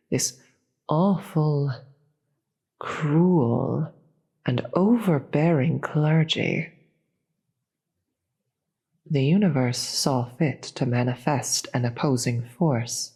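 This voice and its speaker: A performer voicing a proud, imperious demon queen in a fantasy audio roleplay, deliberate and theatrical, with a breathy voice and contempt for the gods. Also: medium pitch, a little mellow, heavily acted. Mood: solemn, bitter. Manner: deliberate, theatrical, grandiose speech with dramatic pauses.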